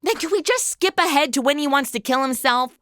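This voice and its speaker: Woman acting sassy young woman, high sharp voice, animated, sarcastic, impatient, slangy, comedy audio drama. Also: a little fast, no echo, clean studio sound. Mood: outraged.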